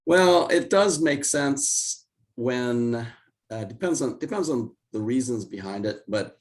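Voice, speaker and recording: An older man with a hoarse, raspy voice while recovering from illness, explaining market charts as a guest on a video call. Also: expressive. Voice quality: raspy, deeper than usual. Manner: measured, explanatory, with restarts. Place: home office indoors.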